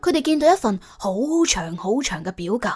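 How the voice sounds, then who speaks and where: A woman with a Cantonese accent narrating a comic crime story in a colloquial, wry, expressive way. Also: mid-range voice, a little fast, husky, soft background music. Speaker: audiobook narrator.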